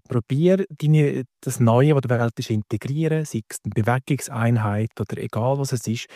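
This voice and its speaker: A man with a Valais Swiss accent speaks as a podcast guest and coach. His voice is soft-edged and mellow, captured dry. He explains things conversationally, addressing the listener directly. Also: medium pitch, no echo. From Valais, Switzerland.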